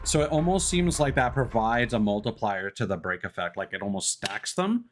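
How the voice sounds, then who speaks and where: A man's voice: lowish voice, sing-song, moderate pace, a little hiss or hum. A man giving casual live game commentary, thinking aloud in an analytical, hesitant way. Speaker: streamer playing a game. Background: faint game music.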